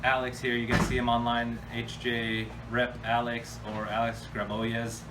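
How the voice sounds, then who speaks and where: A man's voice: medium pitch, monotone, moderate pace. Blunt man, distant noisy recording. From United States. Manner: blunt, informal, slangy. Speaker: host answering viewer questions. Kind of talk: casual off-the-cuff Q&A chat. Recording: distant mic with clear background noise.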